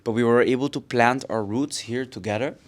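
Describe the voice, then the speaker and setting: A man from Aruba who co-hosts a podcast, speaking in a thoughtful, soft-spoken way and searching for his words. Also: medium pitch, a little fast. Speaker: podcast co-host. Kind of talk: reflective casual chat.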